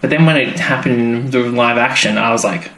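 A male podcast co-host talks in a loose, rambling, conversational way, often leaving thoughts unfinished. His pitch sits in the middle. The recording has a noticeable room echo and some light background noise. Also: fairly quick, fairly bright tone. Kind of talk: casual chat reviewing a TV serial.

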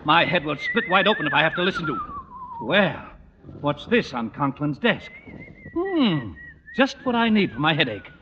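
An actor playing a fussy, put-upon school official who grumbles indignantly, in old radio sitcom style. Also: male voice, on the high side, huge pitch swings, normal speed, sharp tone, full character voice. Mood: grumbling. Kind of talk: 1940s-50s radio sitcom acting. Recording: muffled, noisy old radio recording, reverberant hall.

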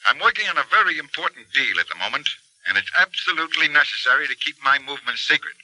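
Sharp, fast-talking client. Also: male voice, a little high, expressive, dry recording, rough recording. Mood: urgent, insistent. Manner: insistent, brisk. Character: urgent, secretive wealthy client phoning a detective. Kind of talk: 1940s radio drama acting.

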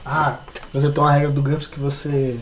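A man's voice: medium pitch, monotone, fast, slight background noise. A man with a Brazilian accent chatting casually and jokingly on a podcast.

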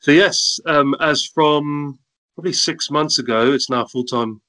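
A man's voice: a little high, a little slow, pushed and bright. A man with a South African accent, a guest in a casual interview, cheerfully telling anecdotes about his work and life. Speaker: guest, content creator.

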